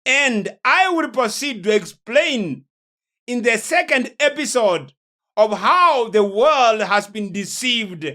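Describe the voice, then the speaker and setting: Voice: mid-range voice, expressive, slow pace, sharp tone. A preacher delivering a sermon-like lecture on prophecy, solemn and emphatic, repeating phrases for weight. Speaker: preacher and host of a religious teaching series.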